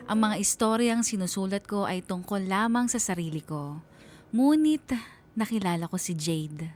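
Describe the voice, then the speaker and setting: A woman's voice: medium pitch, lively intonation, moderate pace, darkish tone, faint music behind, close to an everyday voice. A woman playing a young writer who tells her own life story in scripted radio drama narration, wistful and confiding. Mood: wistful, reflective.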